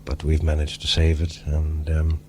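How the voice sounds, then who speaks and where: A man in an old radio interview speaking slowly and earnestly, with pauses. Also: extremely low pitch, monotone. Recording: dull old interview tape with hum.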